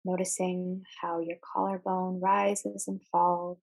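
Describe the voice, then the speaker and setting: A woman leading a guided meditation, giving measured instructions in short phrases with deliberate pauses. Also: lowish voice, level tone, gravelly, poor audio.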